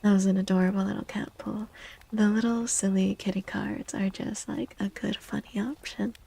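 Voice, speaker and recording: A soft-spoken woman chatting in a gentle, playful ASMR style as a streamer, often dropping to a whisper. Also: mid-range voice, flat delivery, normal speed, creaky, slightly rough. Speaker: live streamer reading tarot cards for chat. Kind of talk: soft-spoken ASMR chat, greeting viewers.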